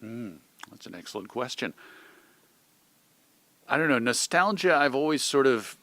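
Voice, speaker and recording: American guy being interviewed, bright clear-cut voice, thoughtful answers, very dry close sound. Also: medium pitch, very animated, measured pace, dry recording. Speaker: interview guest, old-time radio host and magazine editor.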